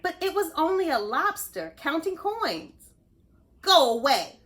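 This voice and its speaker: A woman reading a story aloud to young children, expressive, pausing to explain things and ask simple questions. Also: very high-pitched. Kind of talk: animated read-aloud narration.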